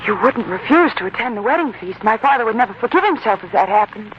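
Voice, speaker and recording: A woman's voice: on the high side, lively intonation, fast talker, close to an everyday voice. A young woman acting an earnest, anxious bride who pleads for help in a 1940s radio drama. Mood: anxious, persuading. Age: young adult. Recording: muffled, noisy 1940s radio recording.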